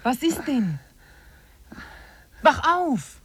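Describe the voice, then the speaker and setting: Radio play female lead: level-headed, determined wanderer, slightly high pitch, plain-spoken, dry studio sound. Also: sing-song, extremely slow, breathy edge, no echo, full character voice. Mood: urgent. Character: practical, resolute woman hiker and painter. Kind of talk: 1950s German radio drama acting.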